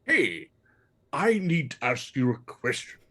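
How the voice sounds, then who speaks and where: A man voicing an old wizard headmaster with a gravelly, husky voice, chatting casually in a comedic dramatic reading. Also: medium pitch, very animated, slow, fairly bright tone, exaggerated voice. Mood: inquisitive.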